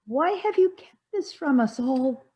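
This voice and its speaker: Actress as incredulous society lady, high-pitched, very animated, fussy, indignant, theatrical. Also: measured pace, breathy edge, full character voice. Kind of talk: stage acting in an online one-act play.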